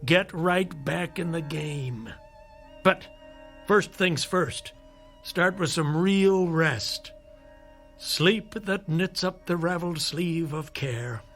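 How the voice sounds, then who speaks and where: A fatherly doctor in a radio drama, full of measured reassurance and skeptical condescension. His voice sits high for a man, with faint music behind it. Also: fairly bright tone. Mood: firm persuasion. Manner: measured, patronizing, rhetorical persuasion. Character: male doctor, persuasive, skeptical, fatherly toward his patient.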